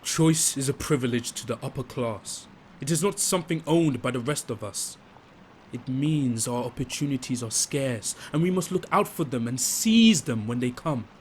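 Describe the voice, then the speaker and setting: Guy doing an earnest rebel pleading his case, medium pitch, faint noise behind. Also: moderate pace, close to an everyday voice. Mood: persuasive. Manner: earnest, persuasive, impassioned. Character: earnest working-class male rebel urging a princess. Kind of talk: audio drama acting.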